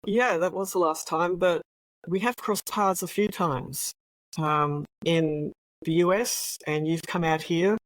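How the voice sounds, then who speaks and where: An Australian woman in her sixties, a retired police officer telling her story as an interview guest in a relaxed, reflective way. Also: on the low side, slow pace, no echo, poor audio. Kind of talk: interview conversation.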